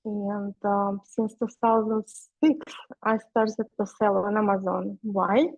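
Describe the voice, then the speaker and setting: A woman's voice: medium pitch, level tone, slow pace, a little mellow, no room sound, muffled recording. A woman in her forties from Russia with a Russian accent, a non-native speaker giving a webinar presentation, hesitant and full of fillers. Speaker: guest speaker.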